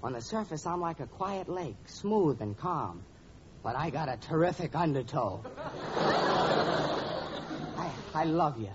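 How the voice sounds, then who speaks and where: Hapless young suitor, overwrought and gushing; vintage radio sitcom with dull, slightly hissy sound. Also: male voice, a little high, animated, measured pace, long pauses. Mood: melodramatic. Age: young adult. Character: gawky, lovestruck young student suitor, melodramatic and hapless. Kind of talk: 1940s radio sitcom acting. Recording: muffled, noisy old radio recording.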